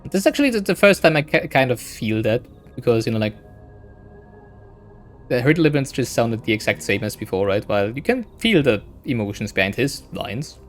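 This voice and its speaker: A man's voice: medium pitch, big pitch swings, brisk, faint music behind. A man streaming a game, chatting in a rambling casual way; English is not his first language. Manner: rambling, chatty, keeps adding "right?". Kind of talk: casual let's-play commentary.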